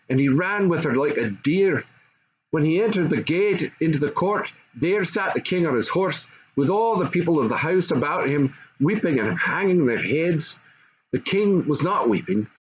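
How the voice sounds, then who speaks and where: An older man narrates a classic fairy story. His voice sits a bit high for a man. The recording is slightly muffled, with faint noise behind it. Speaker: storybook reader.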